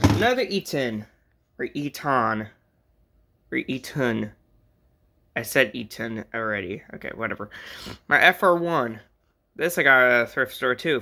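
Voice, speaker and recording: An American hobbyist vlogger with a mid-pitched voice talks casually and off the cuff. The delivery rambles and drifts between side remarks, with a joking streak. It sounds like an unscripted home video made in a bedroom. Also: creaky, pushed and bright. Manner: rambling, jokey, easily sidetracked. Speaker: hobbyist vlogger showing a radio collection. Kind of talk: casual unscripted vlog chat. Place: indoors in a bedroom.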